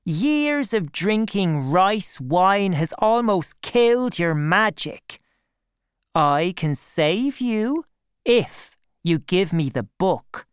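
A woman's voice: medium pitch, very animated, drawn-out words, clear, resonant tone, dry recording, studio quality, voice pushed up. A woman voicing a confident, cunning boy in a storybook, speaking in an assured, commanding way. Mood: coolly threatening.

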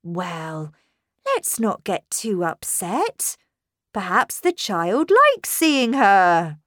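A woman's voice: mid-range voice, lively intonation, takes it slowly, no room sound, studio quality. A woman voicing a troubled, kindly confidante in a storybook narration, doubting and protective, with an earnest, questioning manner. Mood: grimly reassuring.

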